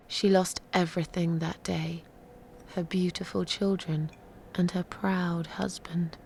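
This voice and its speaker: An actress plays an eerie, sardonic woman telling a grim legend. Her voice is lowish and full of creak, moving slowly and deliberately, often sinking toward a whisper in dramatic horror style. There is faint noise behind her. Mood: hushed, mournful.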